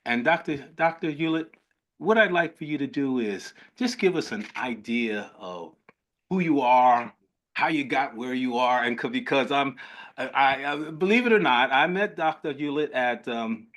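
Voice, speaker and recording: A man's voice: medium pitch, expressive, moderate pace, a bit bright. An older man hosting a medical webinar, talking in an effusive, rambling way with many restarts. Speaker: host, physician.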